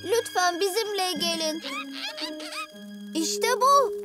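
A little boy superhero in a cat costume for a children's cartoon. The voice is very high, eager and showy, full of earnest confidence. Clear music runs behind it. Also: animated, slow pace, full character voice. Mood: earnest, gentle. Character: plucky, confident six-year-old superhero cat boy. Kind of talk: animated children's cartoon dub.

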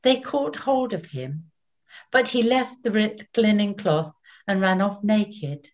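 Older woman narrator, unhurried solemn scripture reading, dull home webcam sound. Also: medium pitch, animated. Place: small plain room at home.

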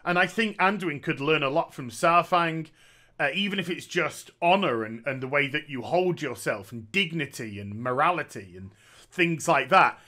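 Sarcastic man, bright voice. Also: a little high, animated, normal speed. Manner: sarcastic, opinionated, jokey asides. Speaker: gaming commentator. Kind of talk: casual opinion commentary. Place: small room at home. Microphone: headset.

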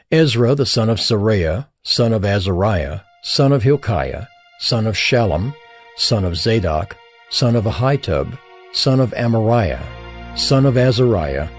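A man narrating an audio Bible in a measured, solemn storytelling manner. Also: on the low side. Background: music.

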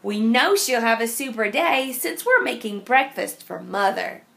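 A woman with a high voice reads a picture book to small children. Her delivery is very slow and sing-song, with a noticeable creak in the voice. She places each phrase deliberately, as a teacher would. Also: animated, fairly bright tone. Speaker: teacher reading aloud. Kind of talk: picture-book read-aloud for young children.

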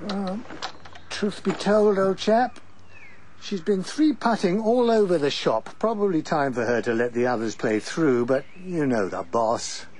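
A man's voice: a little high, lively intonation, unhurried, a little mellow. An actor playing a blunt, weary older English husband and father in a radio drama, with a wry, old-fashioned turn of phrase. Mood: wry, resigned. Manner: wry, swearing, old-fashioned upper-middle-class turns of phrase.

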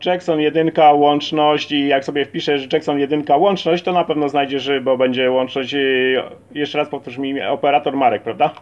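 A man's voice: on the high side, fairly even intonation, normal speed, hardly any pauses. A man chatting casually as an amateur CB radio operator during a radio contact. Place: at a CB radio set. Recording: dull lo-fi audio, faint radio noise.

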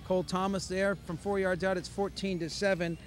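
High-voiced upbeat sportscaster man. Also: flat delivery, faint music behind. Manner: brisk, upbeat play-by-play delivery. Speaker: sportscaster host. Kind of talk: post-game sports commentary. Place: outdoors on a football field at night. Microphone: handheld mic.

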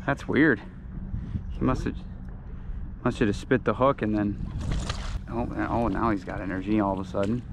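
A man's voice: fairly low pitch, steady tone, moderate pace, pauses often. A young man on a fishing boat chatting casually to viewers as he reels in fish, picked up by a camera on the boat. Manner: laid-back, talks to viewers while reeling in fish. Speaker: fishing vlogger. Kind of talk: casual on-water commentary. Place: small fishing boat on open water, outdoors. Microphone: action camera mounted on the boat. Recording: outdoor boat camera with clear wind and water noise. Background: wind and water around the boat.

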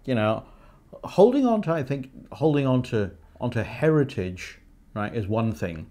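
A middle-aged man from Hong Kong, a guest in a casual indoor chat, talking haltingly, repeating words, with a dry teasing humor. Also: mid-range voice, sing-song, warm tone. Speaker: guest, the host's husband. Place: indoor studio set with a painted brick wall.

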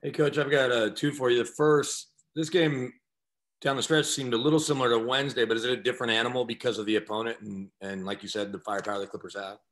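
A man's voice: medium pitch, steady tone, fast, a bit bright, poor audio. A man asking the coach questions as a reporter, in a casual, slightly hesitant way. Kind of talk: press conference question.

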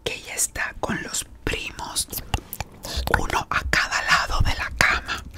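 A woman whispering in an ASMR roleplay as a beautician, gossiping while she treats a client. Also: measured pace. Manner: gossipy whispering, talking to an unseen caller and a client.

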